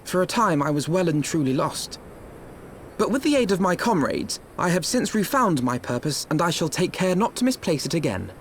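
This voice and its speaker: An earnest young scholar who speaks in formal, polished sentences. His voice sits high and moves with a lively pitch. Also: normal speed, a little hiss or hum. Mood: ashamed. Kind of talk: video game voice acting.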